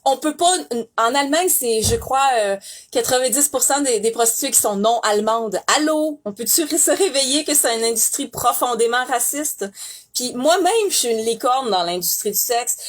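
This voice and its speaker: A woman's voice: on the high side, normal speed. A young woman with a Québécois French accent, giving an emphatic, indignant testimony with frequent restarts. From Canada. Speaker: former sex industry worker giving testimony. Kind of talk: impassioned testimony.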